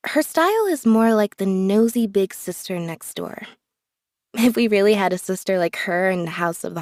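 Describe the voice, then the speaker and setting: A woman voicing a reserved, observant young magician's assistant in a video game, speaking in a calm, measured deadpan. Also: mid-range voice, no echo, studio quality, natural voice. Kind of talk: scripted character voice lines.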